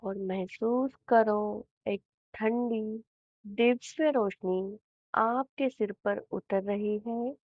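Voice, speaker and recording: A woman with an Indian accent narrating a guided meditation in a soothing, unhurried manner, leaving long pauses between phrases. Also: mid-range voice, fairly even intonation, dry recording. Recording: thin, phone-like narrow band.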